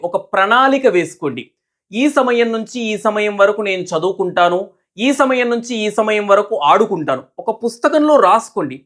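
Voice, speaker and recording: A man's voice: on the high side, fairly bright tone. A man narrating a moral story and advising students in a didactic, explanatory manner, in short repeated phrases.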